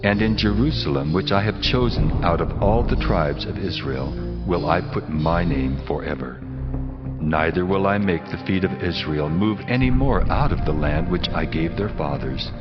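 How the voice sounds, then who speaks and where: A man voicing a solemn, commanding God in a measured, declamatory dramatized scripture reading. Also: deep voice, lively intonation. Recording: long hall reverb, dull sound, music. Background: music.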